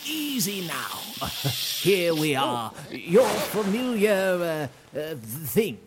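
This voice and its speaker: An actor playing an eccentric, reckless wizard mentor who laughs often and speaks grandly. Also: male voice, on the high side, sing-song, slow, background noise. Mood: gleeful. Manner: theatrical, giggly, grandiose. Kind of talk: fantasy audio drama acting.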